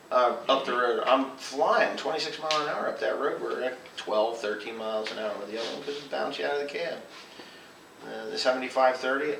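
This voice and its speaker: A man who farms, telling rambling, casual stories among friends in a folksy, colloquial and animated way. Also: medium pitch, creaky. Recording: roomy, distant mic, noisy background.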